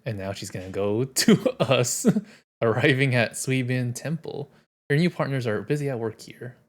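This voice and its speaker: A man's voice: mid-range voice, moderate pace. A man reading a video game's story text aloud as he streams. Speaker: game streamer.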